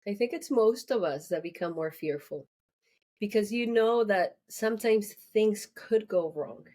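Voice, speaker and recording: A woman's voice: mid-range voice, lively intonation, mellow. A woman hosting an interview, encouraging her guest with frequent affirmations. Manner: slow, encouraging, many affirmations. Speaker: interview host.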